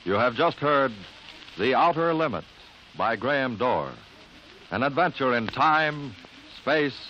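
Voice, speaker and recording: Animated male old-time radio announcer. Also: mid-range voice, unhurried. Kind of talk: scripted 1950s radio announcing. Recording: muffled, noisy old radio recording.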